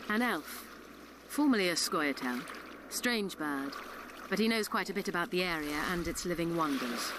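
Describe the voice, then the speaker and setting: An actress playing a shrewd, self-assured sorceress in a video game, with an imperious and wry manner. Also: female voice, on the low side, animated, moderate pace, lightly acted. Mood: cool, informative. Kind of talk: video game cutscene acting. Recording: game dialogue over clear water noise.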